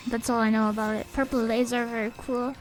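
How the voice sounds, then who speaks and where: Soft, sniffly young woman streaming. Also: fairly high pitch, flat delivery, a little fast. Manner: soft-spoken, reads chat aloud. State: sniffly, a bit stuffed up. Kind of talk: casual gaming stream chat. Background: faint game music.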